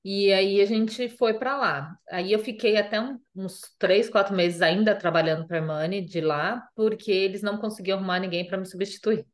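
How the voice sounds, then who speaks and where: A woman's voice: medium pitch, moderate pace, hardly any pauses. A woman from Brazil with a Brazilian Portuguese accent, chatting casually as an interview guest, full of fillers and repeated phrases.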